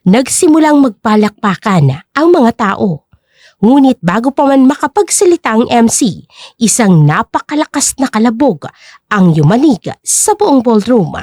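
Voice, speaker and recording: A woman's voice: fairly high pitch, very animated, measured pace, a little mellow, dry recording, studio quality. A woman narrating a scripted story in a dramatic storytelling style.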